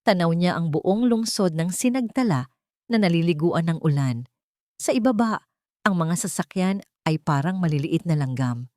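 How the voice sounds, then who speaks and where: A woman narrates a dramatic audio story from a script. Her voice sits low, and the recording is dry and close, with no room around it. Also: animated, normal speed, mellow, no room sound.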